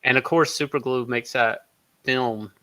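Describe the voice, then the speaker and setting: Bright-voiced man chatting casually. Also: medium pitch, fairly even intonation, measured pace, no echo. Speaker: podcast guest. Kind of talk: casual chat.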